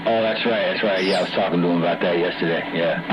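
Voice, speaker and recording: Male radio hobbyist, fairly deep, easygoing casual chat, dull CB transmission buried in heavy noise. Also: fast. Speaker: amateur CB radio operator. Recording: muffled, very noisy CB radio transmission.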